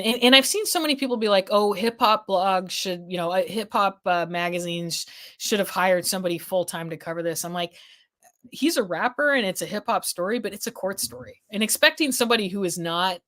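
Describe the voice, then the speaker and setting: A woman with a slightly low voice hosts a casual legal news livestream. She rambles and restarts her sentences while she reads viewer comments. Her voice is getting hoarse as the stream goes on. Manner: rambling, many restarts and fillers, thinking aloud. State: losing her voice. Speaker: legal affairs journalist hosting a livestream.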